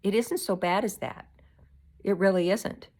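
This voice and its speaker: A woman's voice: fairly low pitch, normal speed, natural voice. A woman reading a storybook and voicing an earnest young daughter, pleading and nervous but brave. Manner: pleading, faltering.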